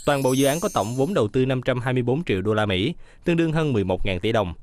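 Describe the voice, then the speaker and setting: A man reading scripted news voice-over in a brisk, even newsreader delivery. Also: mid-range voice, no room sound.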